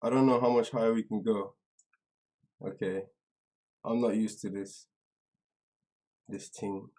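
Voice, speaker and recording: A man hosting a live stream in casual, slangy chat, halting and trailing off as he goes, a little away from the microphone. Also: lowish voice, fairly even intonation, darkish tone. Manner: informal, slangy address to viewers; halting, distracted, trailing off mid-sentence. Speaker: livestream host. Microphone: some distance from the microphone.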